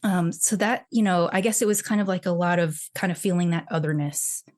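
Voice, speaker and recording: A woman talks with a lower voice, chatty and easygoing as she tells stories from her childhood. Her speech runs along with lots of fillers and restarts, like a relaxed podcast conversation. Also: fairly quick. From Texas, United States. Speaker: podcast guest. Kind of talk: casual conversational interview.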